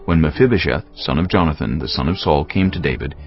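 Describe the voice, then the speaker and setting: A man narrating scripture from a script in a solemn, deliberate storytelling manner. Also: very deep voice, lively intonation. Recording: dull lo-fi audio over music.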